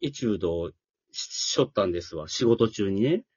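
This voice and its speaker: A man with a Kansai accent chatting casually, halting and jokey, often putting himself down. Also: on the low side, animated, a little mellow, breathy edge, no echo. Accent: Kansai Japanese. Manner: self-deprecating, halting, jokey. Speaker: amateur theatre troupe leader and scriptwriter. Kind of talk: casual chat. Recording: dull, dry lo-fi audio.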